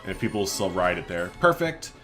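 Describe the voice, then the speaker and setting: A man's voice: low voice, big pitch swings, quick pace, music in the background. A man giving casual, chatty gameplay commentary as a let's play host, thinking aloud informally as he goes.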